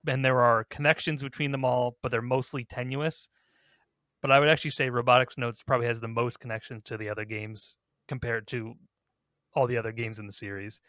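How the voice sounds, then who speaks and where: A man's voice: medium pitch, steady tone, fairly quick, a bit bright, dry recording. A man in casual podcast conversation, recorded close and dry. He rambles a little as he talks through his thoughts, with a mid-range voice. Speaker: podcast host. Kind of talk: casual gaming podcast chat.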